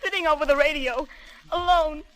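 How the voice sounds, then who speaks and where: An overwrought, bitter pilot's wife in old radio melodrama. Her voice is extremely high and tense. The delivery is theatrical and agitated. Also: normal speed, a bit bright, rough recording. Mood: anguished, pleading. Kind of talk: 1930s radio melodrama acting.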